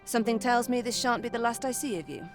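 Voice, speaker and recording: A woman's voice: a little high, music in the background, natural voice. An actress playing a poised, secretive noblewoman in a video game, with coy, haughty, formal speech. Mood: cool, dismissive. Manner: coy, haughty, measured, archaic formal phrasing. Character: poised, sly, teasing noblewoman, secretive and imperious. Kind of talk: video game cutscene acting.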